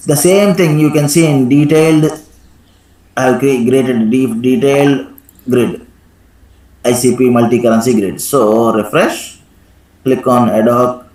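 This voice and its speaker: Male software trainer in an echoey room. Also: mid-range voice. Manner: instructive, frequently checks with "right?". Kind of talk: live screen-share software tutorial with questions to students.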